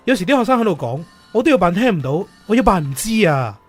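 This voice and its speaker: A man's voice: sits high, big pitch swings, fast, slightly rough, faint music behind, full character voice. A man acting in a Cantonese radio drama as a stern, jealous teacher of thirty-one with a Hong Kong accent. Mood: hurt, pleading. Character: stern, jealous thirty-one-year-old male teacher, worried fiancé.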